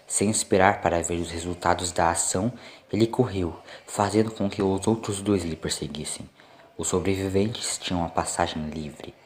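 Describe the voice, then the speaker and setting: A man's voice: sits low, normal speed. A man with a Brazilian accent reading a scripted dramatic story as narrator. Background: faint music.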